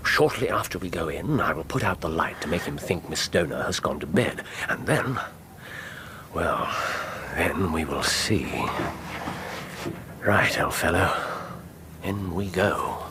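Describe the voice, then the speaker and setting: An actor playing a commanding, wry Victorian British gentleman detective in a radio drama, with a deliberate, theatrical delivery. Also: low-pitched, lively intonation, background noise.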